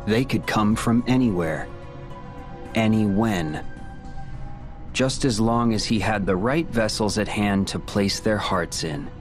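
An actor playing a cold, smug young male villain in a video game, explaining and taunting in measured lines. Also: on the low side, faint music behind. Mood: cold, explanatory. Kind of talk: dramatic video game cutscene acting.

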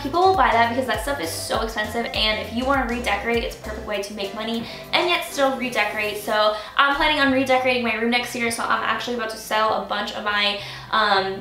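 A woman's voice: on the high side, fairly bright tone. A woman in her early twenties with an American accent, chatting casually to camera as a lifestyle vlogger in a youthful, chatty way. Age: early 20s. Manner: chatty, youthful, frequent "like" and "kinda". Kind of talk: casual scripted-free vlog chat to camera. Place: small furnished bedroom, indoors. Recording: roomy bedroom echo, faint music. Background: faint music.

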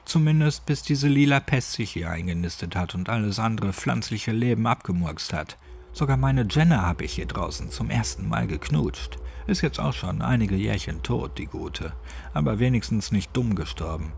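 A man's voice: fairly low pitch, expressive, normal speed, slight background noise. A man voicing a cynical, grumbling old village man in clipped, colloquial speech for a horror audiobook. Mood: wry reminiscing. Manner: colloquial, clipped, gruffly chatty. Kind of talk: horror audiobook character dialogue.

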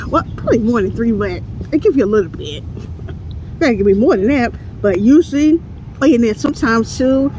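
A woman's voice: on the high side, sing-song, a little fast, darkish tone, soft background music, poor audio. A woman in her thirties reviewing food outdoors, talking casually and playfully into a phone at arm's length between bites. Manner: chatty, playful, laughs often while eating. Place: outdoors beside a building, near traffic. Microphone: phone camera at arm's length. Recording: outdoor phone recording with traffic noise.

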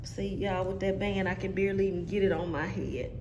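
A woman's voice: mid-range voice, level tone, a little fast, roomy sound, background noise. A woman in her thirties reviewing a wig in a casual vlog, talking straight to her viewers in a sassy, joking way while seated indoors. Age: 30s. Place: indoor room with brick wall.

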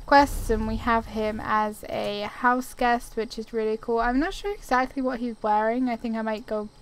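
A woman's voice: on the high side, fairly even intonation, moderate pace, clear, resonant tone, low-quality recording. A woman giving casual gameplay commentary, easygoing and rambling as she thinks aloud. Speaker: video game player and commentator.

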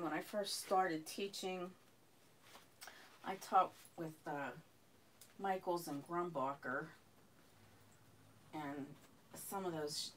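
An older woman teaching a painting lesson, talking slowly and calmly while she works, losing her voice and clearing her throat often. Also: low-pitched, a little hiss or hum, rough recording. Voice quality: gravelly voice. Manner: slow, calm, unhurried talk. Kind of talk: live painting lesson, thinking aloud. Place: home art studio, indoors.

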